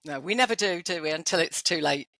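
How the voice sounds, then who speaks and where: Bright-voiced Brooklyn-accented woman host. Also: fairly low pitch, animated, fast, dry recording. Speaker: podcast host. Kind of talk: interview conversation.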